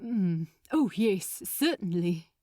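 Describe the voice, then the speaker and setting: A woman voicing a gentle male angel in a fan fiction audiobook, prim, mild and hesitant in manner. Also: medium pitch, expressive, slow pace, no echo, studio quality, voice pushed down. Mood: polite, awkward.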